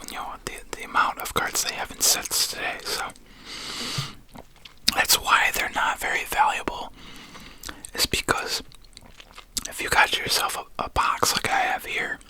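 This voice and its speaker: A video host whispering casually in an unvoiced ASMR style, with halting, conversational delivery while showing trading cards. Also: sharp tone. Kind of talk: whispered ASMR card-opening chat.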